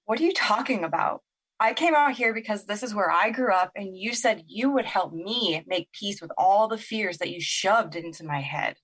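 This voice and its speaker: A woman's voice: medium pitch, lively intonation, moderate pace, a bit bright, slightly rough. A woman acting in an audio drama as a resentful, skeptical young woman, plain-spoken and bitter. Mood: exasperated.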